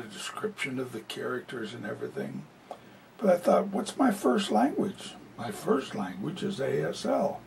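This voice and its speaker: A man voicing a presentation as a sign language interpreter, talking conversationally with many fillers. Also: creaky. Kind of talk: live spoken interpretation of a talk. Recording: distant mic with faint low rumble.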